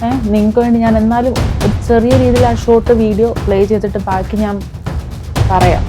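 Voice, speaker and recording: A woman's voice: on the high side, steady tone, a little fast, music in the background. A woman with a Kerala Malayalam accent giving informal, indignant commentary to viewers, scolding and posing rhetorical questions. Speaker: video commentator. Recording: dull, muffled lo-fi sound.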